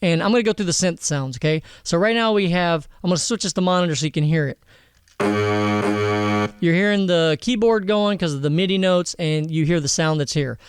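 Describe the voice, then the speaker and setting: A man hosting a casual software tutorial in an informal, slangy way, swearing now and then. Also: fairly high pitch, animated, moderate pace, clean tone, no echo, studio quality, soft background music. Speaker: tutorial host.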